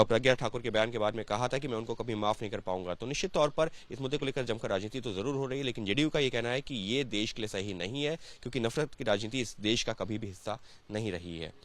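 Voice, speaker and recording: A man's voice: mid-range voice, fairly bright tone. A man working as a TV news reporter, asking wordy, emphatic questions and summing up in news-report style. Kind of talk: news interview, piece to camera.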